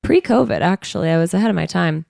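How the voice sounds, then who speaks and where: A woman's voice: fairly low pitch, animated, fast talker, vocal fry, a little mellow, no room sound, clean studio sound. A woman co-hosting a podcast with a stuffy, congested nose, telling stories in a chatty, rambling way full of fillers. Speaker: podcast co-host. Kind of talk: casual chat. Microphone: studio microphone.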